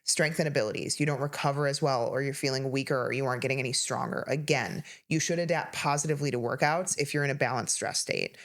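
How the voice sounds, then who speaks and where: A woman in her thirties co-hosting a health podcast, talking casually and listing symptoms rapidly with emphasis. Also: very deep voice. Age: 30s. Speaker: podcast co-host, health coach.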